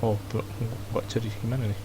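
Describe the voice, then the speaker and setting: A woman's voice: extremely low pitch, little pitch movement, fast, a crowd in the background, poor audio. A woman with an Indonesian accent giving casual gameplay commentary as a game streamer. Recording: loud game music behind. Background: video game music.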